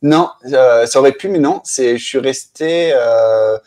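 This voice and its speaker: A man in his thirties from France, the guest in a casual interview, talking in a relaxed way with many fillers in a living room. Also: mid-range voice, measured pace. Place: apartment living room at night, indoors.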